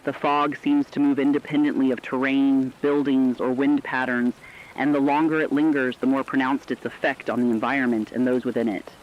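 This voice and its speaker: A man's voice: mid-range voice, level tone, a little fast, close to an everyday voice. A man playing a solemn, formal news anchor giving emphatic warnings in a scripted emergency radio drama. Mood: solemn, urgent. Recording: dull lo-fi radio sound, rainy noise.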